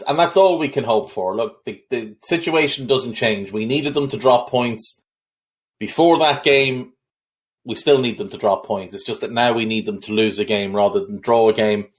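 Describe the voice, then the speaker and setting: A man hosting a football podcast, talking in a blunt, opinionated way with frequent restarts. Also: fairly low pitch. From Ireland. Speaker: podcast host. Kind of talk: casual football podcast chat.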